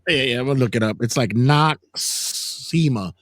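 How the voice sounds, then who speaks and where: A man hosting a casual wrestling news podcast, talking in an animated, joking way. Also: mid-range voice, a little slow. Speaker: podcast host.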